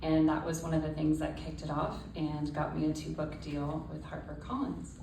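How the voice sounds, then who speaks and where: Low, creaky-voiced woman giving a speech. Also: completely flat delivery, moderate pace. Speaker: author speaking at a literary event. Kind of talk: personal prepared speech to an audience. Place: indoor stage before a live audience. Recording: distant echoey room, faint background noise.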